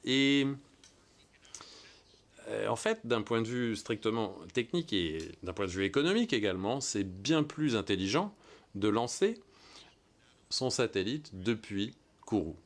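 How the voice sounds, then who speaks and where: Man, American, low voice, excited live launch commentary, hesitant. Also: a little slow, long pauses. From United States. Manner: enthusiastic, conversational, many hesitations.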